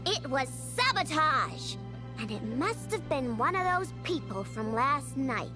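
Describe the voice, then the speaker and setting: High-voiced feisty girl mechanic. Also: sing-song, music in the background. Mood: indignant. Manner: emphatic, theatrical, drawn-out words. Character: feisty young girl mechanic, stubborn and dramatic. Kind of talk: video game voice acting.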